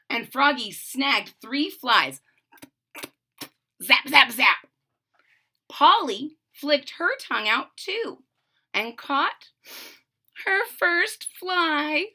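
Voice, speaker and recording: A woman's voice: high-pitched, big pitch swings, takes it slowly, long pauses, bright, forceful tone. A woman reading a children's story aloud, narrating expressively and emphatically and adding simple explanations. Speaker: storybook reader.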